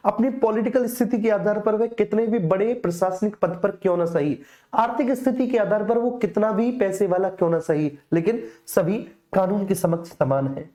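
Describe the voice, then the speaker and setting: A woman's voice: mid-range voice, moderate pace, dark tone. A woman with an Indian accent giving an explanatory exam-coaching lecture, addressing students familiarly and repeating key phrases for emphasis. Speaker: teacher.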